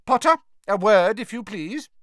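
Man putting on an older female teacher, storybook figure, stern, prim, disapproving, high voice, dry close sound. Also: big pitch swings, unhurried, a bit bright, no room sound, exaggerated voice. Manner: clipped, disapproving, cold and firm.

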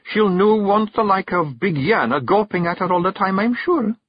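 A male narrator voicing a shrewd young fairy wife and clan mother with a Scottish accent, sweet, measured and quietly menacing. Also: high-pitched, lively intonation, husky, dry recording, dull audio, voice pushed up. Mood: sweetly stern.

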